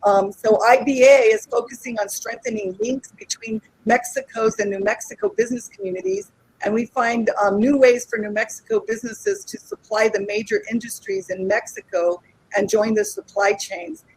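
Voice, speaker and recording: A woman's voice: fairly high pitch, lively intonation, normal speed, darkish tone, husky, poor audio. A woman hosting an event, thanking and introducing speakers in a partly scripted style. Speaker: event host.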